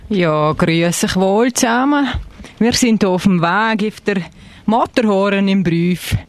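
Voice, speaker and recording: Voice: medium pitch, slow. An actor playing a folksy female tour guide with a Swiss German accent, addressing tourists in a live radio play. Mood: folksy, welcoming.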